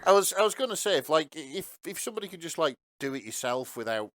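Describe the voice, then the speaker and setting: A man's voice: a little high, very animated, fast, fairly bright tone, no echo, clean studio sound. A man chatting casually as a podcast co-host. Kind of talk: casual chat.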